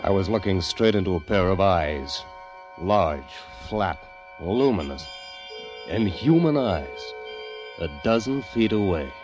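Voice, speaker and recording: A haunted, nervous poet in vintage radio drama. His very low voice is halting and intense, with music playing clearly behind him. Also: lively intonation, muffled recording. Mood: uneasy, suspenseful. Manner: hushed, deliberate, halting, intense. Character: nervous poet fleeing the world, haunted narrator. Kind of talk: 1940s radio acting, dramatic first-person narration.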